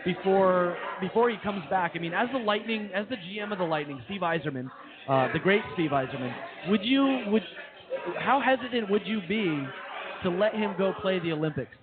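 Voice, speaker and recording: High-voiced eager man, crowd behind. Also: animated, normal speed. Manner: eager, enthusiastic fan talk. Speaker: co-host. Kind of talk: casual sports talk. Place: brewery, live show. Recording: tinny phone-like sound with crowd behind.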